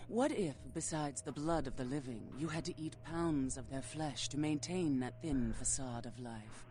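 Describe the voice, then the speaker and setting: A woman playing an ancient, unrepentant flesh-eating vampire in a video game, speaking in a formal, archaic, measured and ominous way. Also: extremely low pitch, darkish tone. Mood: grave, defiant.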